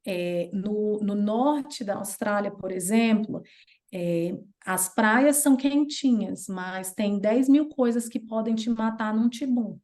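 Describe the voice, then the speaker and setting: A Brazilian woman in her thirties with a Brazilian Portuguese accent, a guest chatting casually and telling stories in an animated way. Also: slow. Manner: chatty and animated, playful jumps in pitch when retelling. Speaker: interview guest. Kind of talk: casual storytelling chat.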